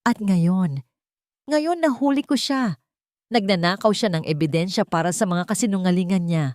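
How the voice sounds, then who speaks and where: A woman's voice: medium pitch, expressive, normal speed, a little mellow, dry recording, clean studio sound, close to an everyday voice. A woman voicing a scheming, tearful fiancée in an audio love drama, melodramatic and accusing. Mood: accusing, pleading.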